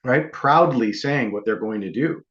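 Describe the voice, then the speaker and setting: A man's voice: medium pitch, a little slow, fairly bright tone. A man co-hosting a podcast, speaking indignantly in casual talk with many ums. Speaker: podcast co-host. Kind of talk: casual political chat. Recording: dull lo-fi sound.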